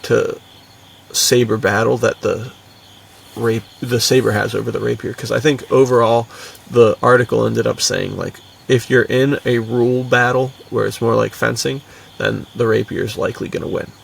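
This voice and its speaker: A man's voice: mid-range voice, fairly even intonation, normal speed, gravelly, recorded from a distance, slight background noise. A young man co-hosting a podcast in casual, enthusiastic chat, with a slangy, buddy-like manner. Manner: slangy, buddy-like, frequently chuckling. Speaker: podcast co-host.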